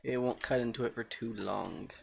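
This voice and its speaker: Male game streamer, calm voice, middle pitch, rambling and chatty with viewers, faint typing behind. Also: normal speed, creaky, slight background noise. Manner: rambling, thinking aloud and answering chat. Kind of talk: casual livestream chat while playing.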